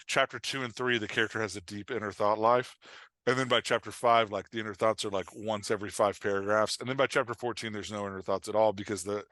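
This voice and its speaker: A man with a bright, forceful voice, recorded close and dry. He talks like an animated podcast guest explaining the craft of writing, emphatic and joking, slipping into imagined little exchanges. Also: fairly low pitch, no room sound. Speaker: guest expert on writing fiction dialogue. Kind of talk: animated casual podcast chat.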